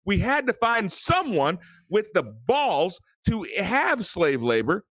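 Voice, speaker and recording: A man's voice: high-pitched, big pitch swings, slow, a bit bright. A man ranting in a casual late-night chat, often repeating words as he builds his point.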